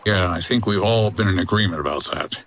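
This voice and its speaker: Guy acting as tough 1950s hotel owner and skipper, low voice, wry clipped wisecracks, radio drama. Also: a little fast, a bit bright. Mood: calm, bargaining. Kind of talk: comedy audio drama acting.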